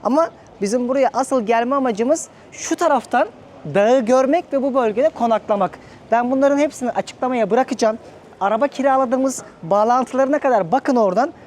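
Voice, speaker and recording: A man's voice: very high voice, moderate pace, darkish tone, a little hiss or hum. A man hosting a casual travel vlog, talking to viewers in a chatty, informal, joking way. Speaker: travel vlogger.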